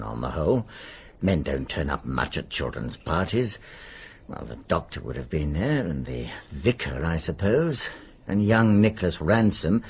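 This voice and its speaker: An actor playing an older retired British police superintendent in a radio drama, practical, informal and musing. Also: male voice, on the low side, slightly rough, full character voice. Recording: slightly dull radio recording.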